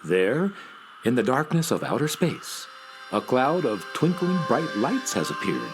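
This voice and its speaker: Animated man narrating a children's story. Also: mid-range voice, unhurried, soft background music. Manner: addresses young listeners directly.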